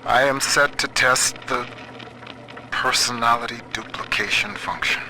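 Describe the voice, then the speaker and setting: A man playing an earnest, world-weary computer scientist in a dystopian video game, speaking in a measured, folksy, plain way. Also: medium pitch, fairly even intonation, pushed and bright, a little hiss or hum, low-quality recording. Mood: grave, reflective. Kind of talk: video game voice acting, radio messages and audio diaries.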